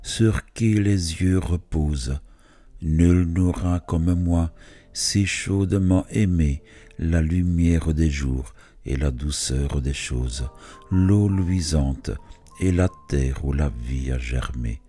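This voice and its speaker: A man reciting literary poetry in a measured way, phrase by phrase with pauses between them. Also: very deep voice, dark tone, soft background music. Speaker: poetry reader.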